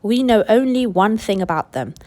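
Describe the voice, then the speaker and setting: A woman voicing an old grandfather who tells a tale in a steady, confiding way, in a storybook reading. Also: mid-range voice, normal speed, close to an everyday voice.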